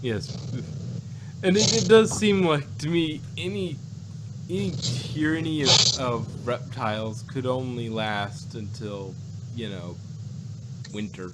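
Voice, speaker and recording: Unhurried, wry man over background noise. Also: mid-range voice. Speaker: podcast co-host. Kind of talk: casual review chat.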